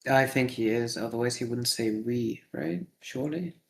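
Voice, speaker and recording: A man's voice: medium pitch, moderate pace, gravelly. Man co-casting, casual. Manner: short agreeing interjections. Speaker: co-caster. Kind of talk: casual game commentary.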